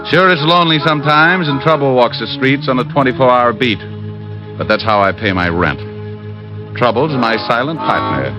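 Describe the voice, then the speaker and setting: Male, actor as streetwise American private eye, medium pitch, wry hardboiled narration, dull vintage radio sound. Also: natural voice. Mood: sardonic. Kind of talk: 1940s-50s radio noir narration. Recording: dull, lo-fi old radio recording. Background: prominent music.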